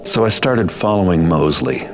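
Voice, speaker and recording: An actor playing a cynical, world-weary master thief in a video game, narrating in a deadpan, brooding noir style. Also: male voice, low voice, moderate pace, background noise. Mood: brooding, wary. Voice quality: gravelly.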